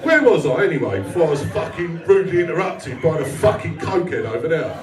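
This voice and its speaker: Animated comedian, roomy club sound. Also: male voice, a little high, quick pace, over music, a crowd in the background. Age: 40s. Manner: sweary, blunt, chatty, self-deprecating. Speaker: stand-up comedian. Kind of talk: live stand-up comedy with crowd banter. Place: small indoor comedy venue with a live audience. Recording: roomy live club, distant mic, audience noise.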